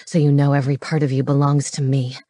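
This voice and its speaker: A woman voicing a possessive yet tender male lover in a romance audiobook, with a breathy, growling delivery. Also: rumbling low, flat delivery, fast, dark tone, dry recording, clean studio sound, pitched below the performer's own voice. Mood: commanding, passionate.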